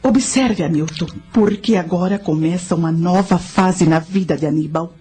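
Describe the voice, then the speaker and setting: A woman playing a knowing guide who narrates ancient history in a radio drama, measured and instructive. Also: fairly low pitch, warm tone, close to an everyday voice.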